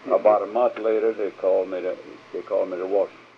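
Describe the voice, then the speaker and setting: An older man telling his memories in a field interview, speaking haltingly with fillers and restarts, on a muffled field recording. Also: low voice, fairly even intonation, a little hiss or hum. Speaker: interviewee, veteran.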